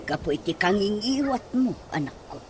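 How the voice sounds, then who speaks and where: A woman's voice: mid-range voice, expressive, moderate pace, over music, lightly acted. A woman acting an exasperated but reasoning mother in an Ilocano radio drama, questioning and scolding with an Ilocano accent. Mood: reproving, reasoning.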